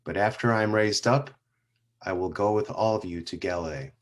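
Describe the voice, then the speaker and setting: A man's voice: fairly low pitch, natural voice. A man reading a solemn, grave Jesus. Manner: solemn, measured. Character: Jesus, grave calm male teacher. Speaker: reader in church passion play. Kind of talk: dramatic scripture reading. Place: church sanctuary.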